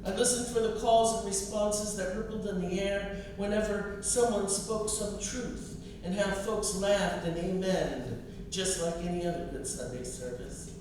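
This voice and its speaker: A middle-aged American woman from the East Coast, a poet reading her own literary work in a measured, expressive way with deliberate phrasing. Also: medium pitch. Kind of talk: literary reading of poetry and personal essay. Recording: distant mic, echoey room, clear noise.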